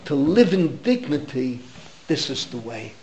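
A man's voice: medium pitch, very animated, unhurried, darkish tone. An older man with an American accent teaching an informal Torah class, emphatic and opinionated, repeating phrases for emphasis and dropping in Hebrew terms. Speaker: rabbi giving a Torah class.

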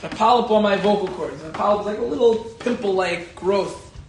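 Animated high-voiced man giving a Torah talk. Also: brisk, echoey room. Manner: animated, emphatic, repeats key phrases. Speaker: rabbi teaching a Torah class. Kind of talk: Torah lecture with a personal story.

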